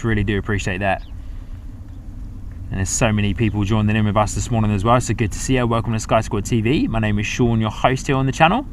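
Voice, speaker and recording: A man's voice: lowish voice, a little hiss or hum. A man from the UK with a mixed English and New Zealand accent, hosting a chatty live plane-spotting stream outdoors by an airport. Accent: mix of English and New Zealand. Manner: upbeat, chatty, talks to viewers. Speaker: livestream host.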